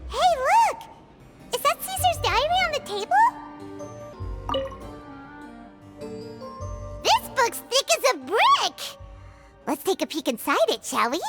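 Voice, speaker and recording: A woman voicing a tiny, chirpy, childlike fairy companion in a video game, squeaky, curious and full of exclamations. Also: wildly swooping pitch, normal speed, fairly bright tone, exaggerated voice. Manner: exclamatory, playful. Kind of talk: video game character acting. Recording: music playing behind.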